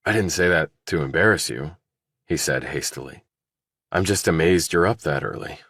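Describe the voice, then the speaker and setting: A man narrating a thriller audiobook, reading scripted prose and character dialogue in a deep voice. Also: moderate pace, a bit bright, no echo, studio quality.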